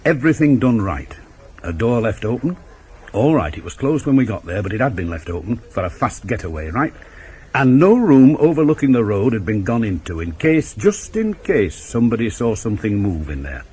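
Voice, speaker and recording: An actor playing a shrewd, dogged middle-aged police inspector in a 1980s radio drama, terse, emphatic and authoritative. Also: male voice, fairly low pitch, very animated, normal speed, a little mellow, husky. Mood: brooding, shrewd. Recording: old radio broadcast, faint traffic noise.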